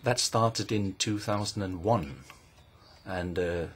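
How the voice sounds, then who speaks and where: A Norwegian man with a Norwegian accent, giving a measured, halting formal answer as a parliamentarian at a hearing. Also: low-pitched, slightly rough. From Norway.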